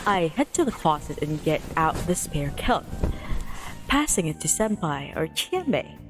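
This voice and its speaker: Woman reading as a weary, slow-spoken visual novel protagonist. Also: sits low, very animated, over music, pitched below the performer's own voice, full character voice. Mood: weary, exasperated.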